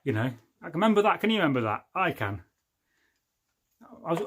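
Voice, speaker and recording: A man hosts a casual livestream, chatting loosely and joking as he reads out viewers' comments. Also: fairly high pitch. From England. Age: 40s. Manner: rambling and jokey, often losing his thread. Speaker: livestream host.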